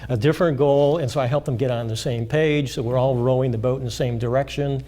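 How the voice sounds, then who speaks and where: A man being interviewed as a financial planner, explaining in a measured way with frequent pauses and fillers. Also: mid-range voice, steady tone, warm tone. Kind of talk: interview answers. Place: high school TV studio.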